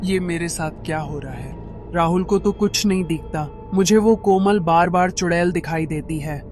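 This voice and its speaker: A woman's voice: lowish voice, normal speed, a little mellow, soft background music, natural voice. A woman voicing a worried young wife in a cartoon, insistent and frightened about her new maid. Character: anxious young wife Shanti. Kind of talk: cartoon voice acting.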